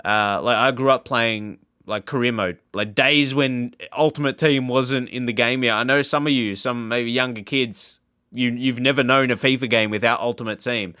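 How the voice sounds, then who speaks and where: A man hosting a gaming channel, giving casual, off-the-cuff reaction commentary in a rambling, halting, informal way. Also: mid-range voice, fairly even intonation, bright, forceful tone, clean tone, no room sound, studio quality.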